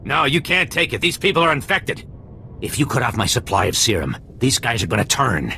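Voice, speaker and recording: A gravelly blustering pirate medic. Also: male voice, medium pitch, lively intonation, brisk, bright, forceful tone, a little hiss or hum. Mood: nervous. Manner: blustering then backpedaling, stammering. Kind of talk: game cutscene acting.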